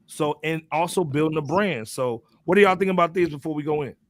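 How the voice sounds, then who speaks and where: A man's voice: a little high, a little fast, dry recording. A man hosting a hip hop podcast in a casual, slangy, informal way, often punctuating his lines with "man". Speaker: podcast host.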